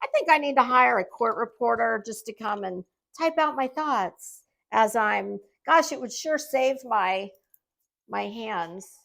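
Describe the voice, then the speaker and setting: A woman talks slowly through an unscripted commentary. Her delivery is sarcastic and condescending, with emphatic words and dramatic pauses as she addresses her online viewers. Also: a little high, expressive, vocal fry, a bit bright. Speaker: YouTube commentator. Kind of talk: casual off-the-cuff commentary monologue.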